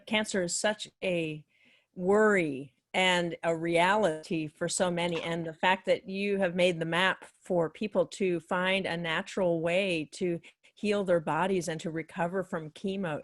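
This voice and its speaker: Female host, gushing praise, low voice, dry close sound. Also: steady tone, unhurried, no echo. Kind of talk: interview hosting.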